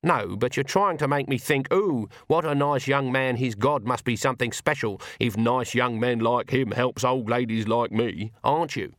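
A man's voice: mid-range voice, moderate pace, hardly any pauses, a bit bright, no echo, clean studio sound, natural voice. A man voicing a fierce, stubborn old country witch woman, blunt and folksy with an emphatic, scolding manner, in an audiobook. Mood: needling.

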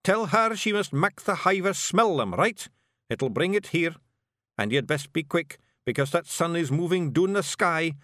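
A man voicing a small, blustering male fairy clan chief in a broad Scottish accent, gruff and boastful. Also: fairly high pitch, lively intonation, a bit bright, no room sound, studio quality, pitched above the performer's own voice. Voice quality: gruff, boastful, quick to bristle.

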